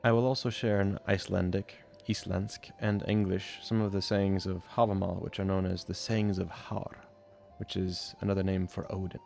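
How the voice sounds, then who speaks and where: A deep-voiced man narrates in a slow, level delivery with a gravelly edge. His reading is measured and deliberate, like a scripted lesson, and quiet music plays underneath. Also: flat delivery. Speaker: video narrator. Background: faint background music.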